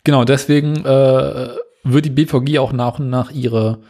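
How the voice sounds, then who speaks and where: A man co-hosting a casual podcast, explaining news items in a relaxed, dry way. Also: medium pitch, moderate pace. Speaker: podcast co-host.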